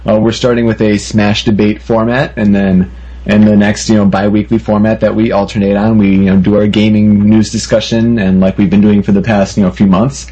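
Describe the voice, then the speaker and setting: A man's voice: on the low side, flat delivery, a little fast, warm tone, breathy edge, dull audio. A man hosting a gaming podcast, talking casually and often saying "you know". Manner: filler-heavy, frequent "you know". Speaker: podcast host.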